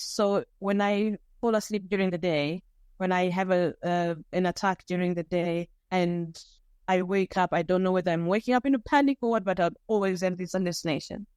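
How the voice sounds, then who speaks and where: A woman from Zimbabwe, a podcast guest, telling her own story candidly, with pauses and restarts. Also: mid-range voice, a little mellow, clean tone, no room sound. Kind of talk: personal storytelling interview.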